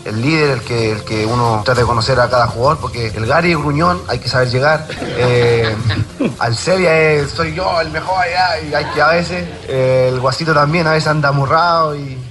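A man from Chile giving rambling, halting answers in an interview clip. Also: fairly high pitch, crowd noise. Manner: rambling and halting, with many restarts. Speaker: football player in a recorded interview.

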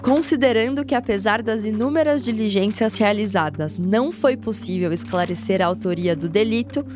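A woman reads out quoted documents in a formal, official tone, her pitch in the middle for a woman. Music plays clearly behind her. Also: moderate pace. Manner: formal, official-sounding delivery.

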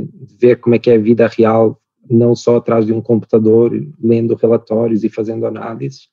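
A man in his thirties from Portugal with a European Portuguese accent, speaking as a podcast guest in a measured way with small restarts. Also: medium pitch, dark tone, no room sound, dull audio. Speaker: podcast guest, company cofounder. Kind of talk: relaxed business podcast conversation.

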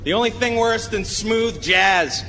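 High-voiced, wry grown son. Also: male voice, a little slow, pushed and bright. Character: exasperated, wry grown son, radio man. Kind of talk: live radio play acting. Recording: echoey live hall, faint noise.

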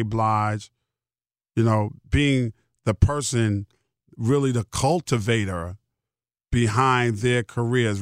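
Middle aged american guy doing a solo podcast, rambly and emphatic, medium pitch, dry close mic. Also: clear, resonant tone, dry recording. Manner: rambling, emphatic repetition, salty slang. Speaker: podcast host. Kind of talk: casual solo podcast monologue.

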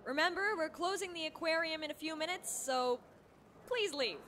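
Prickly high, sharp park ranger. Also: female voice, normal speed, slight background noise. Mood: stern, threatening. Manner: curt, officious. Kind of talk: audio drama announcement to visitors.

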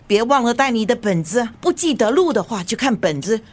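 A caring but nagging mother in a radio drama. The woman fusses in a highish, animated voice with a Singaporean accent. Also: a little fast. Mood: fussing, fond. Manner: fussing, scolding. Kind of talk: naturalistic Mandarin radio drama acting.

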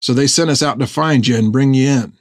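A man voicing a gruff, earnest sailor sergeant in an audiobook, talking in folksy seafaring dialect to old mates. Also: medium pitch, fairly quick, no room sound, clean studio sound, natural voice. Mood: earnest, persuasive. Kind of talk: audiobook character dialogue.

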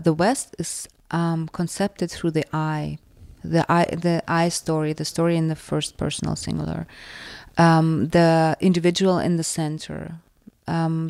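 A woman from the former Soviet Union, a native Russian speaker with a Russian accent, answering interview questions in a reflective way and often pausing to search for words. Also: sits low, vocal fry, darkish tone, no echo. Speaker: author and interviewee.

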